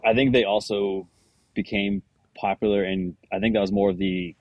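A man's voice: fairly low pitch, little pitch movement, moderate pace, darkish tone, no echo. A man guest on a music podcast, talking candidly with hesitant restarts. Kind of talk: casual music chat.

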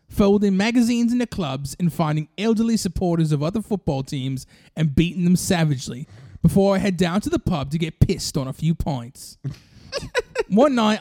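Male co-host, high voice, dry close mic, breezy mock-earnest improv narration. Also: a little mellow, dry recording. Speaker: podcast co-host. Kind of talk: improvised comic storytelling.

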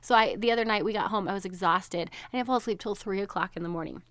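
A woman's voice: medium pitch, fast talker, fairly bright tone, no echo, clean studio sound. A woman in her thirties hosting a solo podcast, chatty and bubbly, laughing often, her voice nasal and stuffed up from allergies. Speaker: podcast host, registered dietitian. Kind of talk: casual solo podcast chat.

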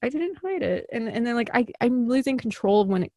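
A woman's voice: a little high, lively intonation, a little fast, darkish tone, dry recording, clean studio sound. A woman with a Chicago accent, a guest actor talking in a chatty, giggly, self-deprecating way. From US Midwest. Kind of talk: casual podcast interview.

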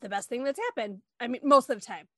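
A woman's voice: on the high side, bright, forceful tone, no echo, clean studio sound. A woman co-hosting who chats casually and laughs easily. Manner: chatty and quick to laugh. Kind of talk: casual chat.

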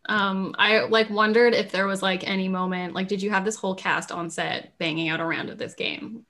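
A woman's voice: medium pitch, steady tone, normal speed, bright, forceful tone. A woman co-hosting a podcast, asking questions in a casual way with lots of likes. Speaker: podcast co-host.

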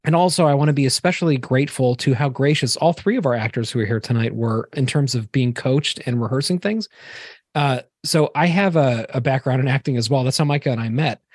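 A man's voice: mid-range voice, brisk, darkish tone, slightly rough, no room sound. Effusive man chatting, dry close sound. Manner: effusive, informal, anecdotal. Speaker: podcast host and short film co-director. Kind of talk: casual roundtable chat.

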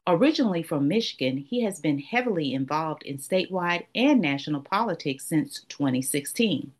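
A woman with a low voice hosts a podcast. She reads guest introductions and poses questions in a measured, unhurried way. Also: animated. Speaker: podcast host. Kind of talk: reading guest introductions, then interviewing.

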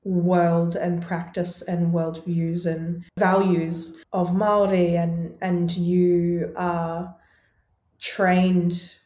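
A movement and sound artist speaking in a reflective conversation over a video call, measured and searching, with frequent ums. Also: female voice, lowish voice, little pitch movement, mellow. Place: home in Melbourne. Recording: roomy video call audio.

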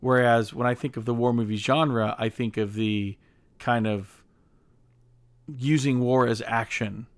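A man's voice: mid-range voice, steady tone, measured pace, no echo. A man co-hosting a podcast, stammering and repeating words as he gives strong opinions on films. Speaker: podcast co-host. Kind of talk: casual film discussion.

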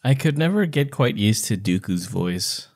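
A man with a fairly low voice talks casually as a podcast co-host. His delivery is joking and teasing, in an everyday conversational style. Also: big pitch swings, normal speed, creaky, a little mellow. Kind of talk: casual chat.

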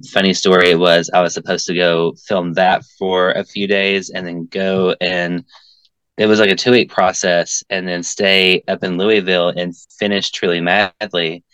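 Guest: low, smooth male voice, rambling and chatty, lots of fillers. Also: fairly bright tone, no echo, rough recording. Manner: talkative, frequent "um" and "like". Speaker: interview guest, filmmaker and actor. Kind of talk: casual conversation.

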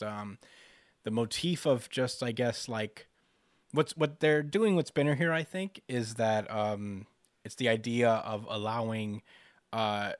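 Male podcast co-host, slightly deep, dry sound, casual and reflective, feels his way through arguments. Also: dry recording. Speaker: manga discussion podcast co-host. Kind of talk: casual analytical chat.

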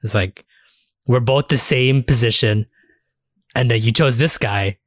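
A man's voice: mid-range voice, unhurried, dry recording, studio quality. A man hosting a casual anime discussion, talking in a rambling, slangy way with casual swearing. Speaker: podcast host.

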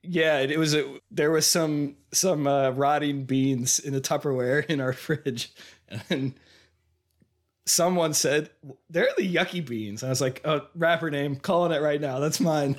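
A man's voice: a little high, fairly even intonation, unhurried. An American man in his thirties with a cold and a slightly congested voice, co-hosting a casual, jokey, rambling film discussion. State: has a cold, losing voice. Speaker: podcast co-host.

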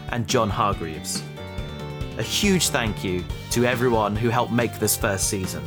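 A man reading scripted end credits as a podcast creator, speaking to listeners in a measured, sincere and friendly way. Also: mid-range voice.

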